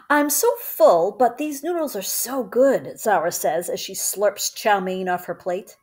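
A woman in her forties reads a children's story aloud like a teacher. Her pitch moves in a lively way, and her delivery stays conversational, recorded on a webcam in a small room at home. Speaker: teacher reading a book aloud. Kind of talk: children's book read-aloud.

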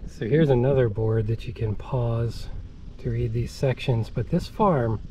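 A man's voice: medium pitch, unhurried, background noise. A middle-aged man casually narrating a hike outdoors, chatty and easygoing, reading signs aloud as he walks. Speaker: hiking vlogger. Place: outdoors on a farm by a bay.

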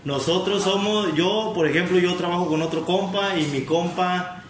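A man's voice: high-pitched, normal speed, roomy sound, slight background noise, natural voice, poor audio. A man voicing a menacing gangster boss with a Mexican accent, threatening and slangy, talking over the other man. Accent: Mexican Spanish. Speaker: prankster.

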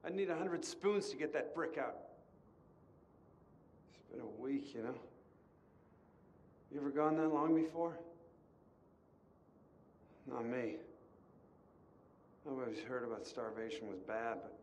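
An actor playing a starving, despairing asylum inmate in a video game, speaking in halting, philosophical monologue with pauses. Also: male voice, mid-range voice, lively intonation, a little mellow, lightly acted. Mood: weary, resigned. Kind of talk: video game dramatic acting.

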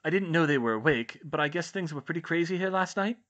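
A woman voicing an anxious, earnest teenage witch, high-pitched. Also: lively intonation, quick pace, muffled recording. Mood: subdued, earnest. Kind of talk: audiobook-style character dialogue.

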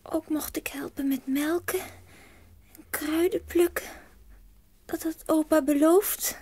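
A homesick little girl in a children's radio play. The voice is extremely high and childlike, slow and plaintive, in a dry recording. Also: fairly even intonation, a little mellow, no room sound. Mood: homesick, wistful.

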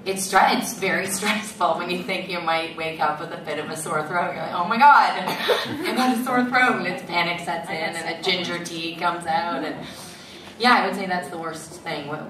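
A woman voice actress with a gravelly voice, chatting on a convention panel. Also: fairly low pitch, normal speed. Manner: chatty, laughing often. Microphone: handheld mic, far camera. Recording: echoey hall, distant camera, crowd noise.

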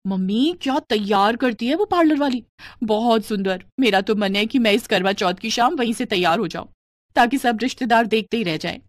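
A woman's voice: a little high, moderate pace, no echo, close to an everyday voice. A woman voicing a vain, petulant young bride in a cartoon story, with a whiny, self-important manner. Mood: excited, bossy. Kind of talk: animated moral story dialogue.

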